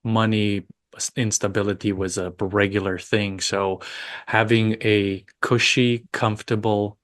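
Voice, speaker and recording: A man from Romania with a slight Romanian accent, answering interview questions in a measured, thoughtful, earnest way. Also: on the low side, little pitch movement, fairly bright tone. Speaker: podcast guest.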